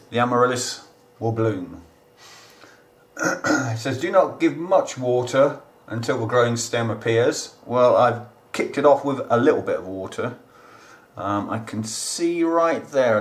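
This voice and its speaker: Chatty man showing plants. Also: mid-range voice. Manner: chatty, plain-spoken, talks to viewers directly. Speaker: gardening channel host. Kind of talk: casual how-to vlog. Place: indoors at home, kitchen counter.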